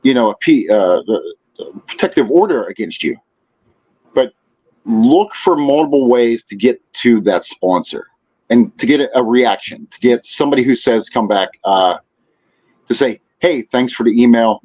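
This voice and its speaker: A man giving practical advice in casual podcast conversation, repeating and rephrasing his points in a halting way. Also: mid-range voice, lively intonation, darkish tone, lo-fi sound. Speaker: podcast guest.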